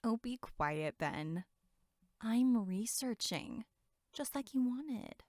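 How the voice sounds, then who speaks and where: A woman's voice: a little high, expressive, slow pace, a little mellow, no room sound, clean studio sound. A woman acting in an audio drama as a flippant young camp counselor, with a sarcastic, blunt and casual way of talking. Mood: curious, teasing.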